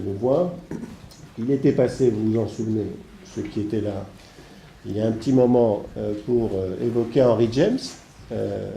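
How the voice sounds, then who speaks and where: Congested, dark-voiced man introducing a seminar. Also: medium pitch. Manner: hesitant, halting, digressive. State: congested from a heavy cold. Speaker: seminar host introducing guest speakers. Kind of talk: spoken introduction of a seminar, semi-improvised. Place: seminar room with an audience. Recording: echoey room with faint background noise.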